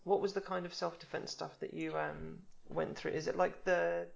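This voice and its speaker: A man with a strongly gravelly, somewhat high voice hosts a casual interview. He is relaxed and playful and talks with lots of fillers. The sound is a little dull and lo-fi. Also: moderate pace. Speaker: podcast host interviewing a guest.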